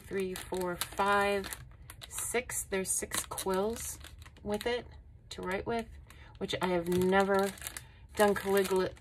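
A woman vlogging a casual craft haul at her table, unhurried and admiring, with frequent ums. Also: lowish voice, a little hiss or hum. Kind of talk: casual show-and-tell haul. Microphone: overhead camera on a tabletop.

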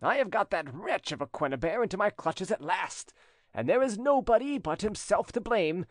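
A man's voice: high-pitched, animated, moderate pace, no room sound, studio quality, pitched above the performer's own voice. A man voicing a jealous, scheming spurned suitor in a storybook reading, with insistent, theatrical delivery. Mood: gloating, scheming.

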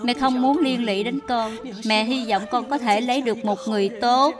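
A woman voicing a stubborn, sorrowful, frail old mother-in-law with an emotional manner in an animated dub. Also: fairly high pitch, a little fast, close to an everyday voice. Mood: tearful, loving. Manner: agitated, blunt, emotional. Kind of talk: dubbed animated moral tale. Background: background music.

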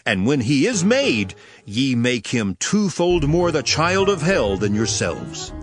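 A man's voice: medium pitch, sing-song, takes it slowly. A man acting a stern, authoritative teacher in a dramatized scripture reading, speaking with solemn, emphatic declamation. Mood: stern, rebuking. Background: background music.

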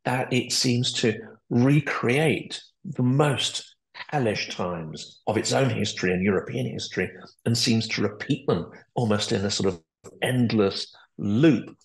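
A middle-aged man hosting an interview over a video call, asking long, articulate questions in a measured way. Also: medium pitch, poor audio. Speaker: podcast host. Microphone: video call webcam.

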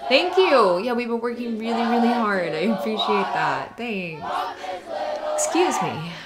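A woman playing a sweet, flustered young cheerleader in an ASMR roleplay, halting and stammering as she hides that she is upset. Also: on the high side, lively intonation, over a noisy crowd, very noisy. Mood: bright, hurried. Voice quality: creaky, often dropping to breathy whispers. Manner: halting, stammering, nervous little laughs. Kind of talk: ASMR roleplay, spoken to the listener.

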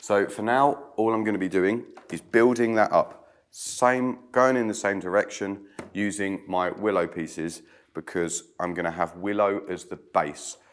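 A man in his thirties hosting a casual craft tutorial, croaky from a cold, chatting as he weaves in an old stone-walled room. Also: fairly low pitch, fairly even intonation, measured pace. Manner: chatty, hands busy weaving.

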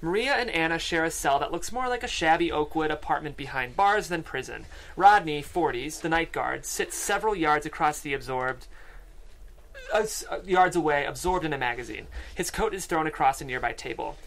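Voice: mid-range voice, expressive, moderate pace, a bit bright, low-quality recording. A narrator with a mid-range voice reads out a screenplay at a table read. The delivery is scripted narration, with scene headings and action lines, spoken into a studio microphone. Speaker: stage-direction reader at a table read. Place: podcast studio with several people.

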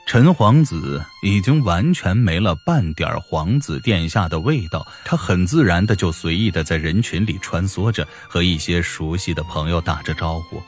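A man's voice: low voice, lively intonation, moderate pace, few pauses. A man narrating a fantasy novel as a scripted audiobook. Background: music behind the voice.

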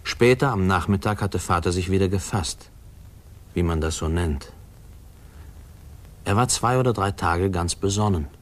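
A man acting as a low-voiced grown man looking back on his boyhood. He tells it wistfully and reflectively, in measured 1950s radio drama narration. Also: lightly acted.

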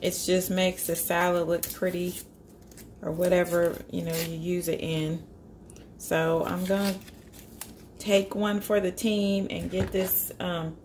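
Cooking vlogger, woman, lilt, lowish pitch, casual talk-through in her own kitchen with light background noise. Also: darkish tone. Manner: chatty, upbeat, thinks aloud with small fillers. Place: home kitchen.

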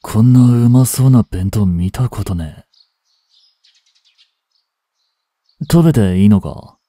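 A man acting as a cynical, aloof young street delinquent in a voice drama, with rough, casual, lazily teasing speech, close to the mic. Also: on the low side, moderate pace, warm tone, no room sound, natural voice. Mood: pleasantly surprised. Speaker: voice actor. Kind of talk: Japanese voice drama aimed at women, one-sided dialogue. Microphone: close studio mic. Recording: dry close-miked studio recording.

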